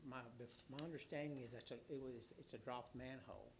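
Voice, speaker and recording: Hoarse man giving a rambling report. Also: mid-range voice, a little hiss or hum. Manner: rambling, halting, many restarts and fillers. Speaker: city official giving a utility report. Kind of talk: council meeting report.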